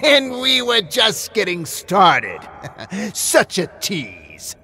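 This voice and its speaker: A man voicing a maniacal, gleeful killer puppet in a story, with leering, theatrical, drawn-out taunts. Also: sits high, wildly swooping pitch, gravelly, sharp tone, pitched above the performer's own voice, heavily acted. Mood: gleeful, mocking. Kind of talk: scripted story character voice.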